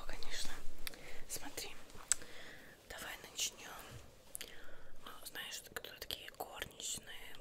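A young woman whispers an ASMR roleplay as a shopkeeper, coaxing the listener softly and unhurriedly, often repeating words, close to the mic indoors. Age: young adult. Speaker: ASMR roleplayer playing a witch tailor.

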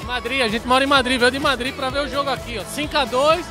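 A man's voice: very high-pitched, fairly even intonation, fast talker. A man with a Brazilian accent bantering casually, joking and slangy. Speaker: vlogger's companion. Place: busy indoor sports arena. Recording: loud crowd and music, off-mic.

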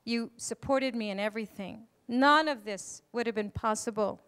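A woman's voice: on the high side, moderate pace, fairly bright tone, smooth. A middle-aged woman giving effusive, emphatic thank-you remarks on stage into a handheld microphone. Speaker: playwright and event host. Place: stage in an auditorium before an audience. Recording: live stage microphone with audience noise.

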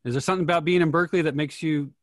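A man's voice: on the high side, fairly even intonation, very fast, no echo. Thoughtful man, dry close sound. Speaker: host of a play-reading discussion. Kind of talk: casual discussion. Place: indoors, video call.

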